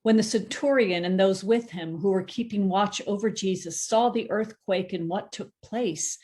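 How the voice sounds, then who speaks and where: A woman narrating a Bible passage at a church service. Her reading is steady and measured, with an occasional stumble that she corrects. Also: medium pitch. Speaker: scripture reader.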